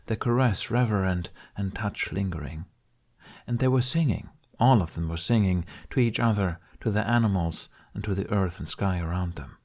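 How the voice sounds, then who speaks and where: Calm, low-voiced male storyteller. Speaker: podfic reader. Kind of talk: storybook narration.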